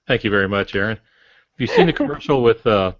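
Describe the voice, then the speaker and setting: An older man, a veteran author, talking casually on a podcast with dry, measured humour. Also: lowish voice, wildly swooping pitch, no room sound. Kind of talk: casual conversation. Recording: dull close lo-fi audio.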